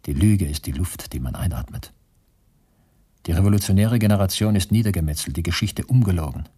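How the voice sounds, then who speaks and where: A weary, disillusioned male prisoner in a radio play. His voice is low, mellow-dark and husky. He speaks in a measured, brooding, bitter way, as if reading his own letters. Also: expressive. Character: weary, disillusioned concentration camp prisoner, communist, writing to his beloved. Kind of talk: radio drama monologue.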